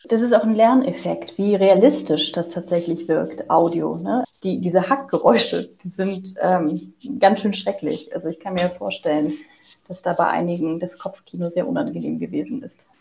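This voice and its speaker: Creaky, lower-pitched woman in interview. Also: normal speed, mellow, highs cut off. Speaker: interviewed radio drama author. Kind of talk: casual interview talk.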